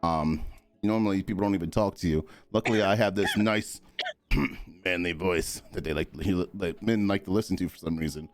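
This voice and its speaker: A man hosting a casual interview chat, animated and slangy, laughing often. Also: sits low, moderate pace, no room sound. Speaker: podcast host.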